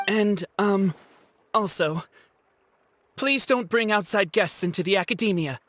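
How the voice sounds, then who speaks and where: A woman's voice: mid-range voice, unhurried, a bit bright, slight background noise, close to an everyday voice. A woman voicing a proud, touchy young male student who stammers when flustered. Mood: nervous.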